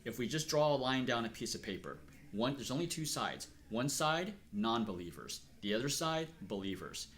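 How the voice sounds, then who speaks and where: A middle-aged man from Texas, speaking earnestly and at a measured pace as he is interviewed about his life and work. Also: mid-range voice, animated, fairly bright tone. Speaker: interviewee, acupuncturist. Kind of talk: reflective personal interview.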